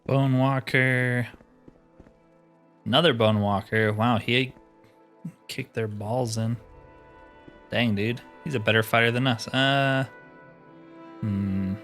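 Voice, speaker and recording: A man's voice: medium pitch, fairly even intonation, takes it slowly, pauses often, fairly bright tone, faint music behind. A man giving casual gameplay commentary, thinking aloud with mild slangy exclamations. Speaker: let's player narrating a roleplay playthrough.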